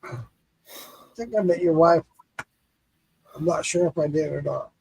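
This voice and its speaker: A tipsy man hosting a live podcast, talking in a husky, dark, mellow voice with a slightly high pitch. He is friendly and earnest, and he says his thanks more than once. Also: steady tone, a little slow, rough recording. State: tipsy from drinking. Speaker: podcast host. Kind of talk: casual live podcast chat.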